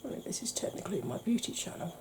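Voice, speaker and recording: A woman from the UK, a beauty vlogger talking through a makeup tutorial in a quiet voice that keeps slipping into whispers. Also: on the low side, very animated, quick pace. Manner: quiet, soft-spoken, drifting into whispers.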